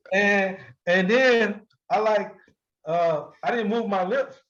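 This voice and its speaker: An American man telling stories casually in an interview, profane and quick to laugh. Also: muffled recording. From Milwaukee, United States. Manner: animated, profane, breaks often into high-pitched laughter. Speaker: hip-hop artist being interviewed. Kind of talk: casual anecdotal storytelling.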